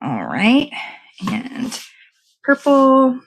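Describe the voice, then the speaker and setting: A woman's voice: high voice, huge pitch swings, very slow, creaky, rough recording. A woman hosting a casual hands-on cake decorating demo, talking to viewers and half to herself in short fragments as she works. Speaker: show host.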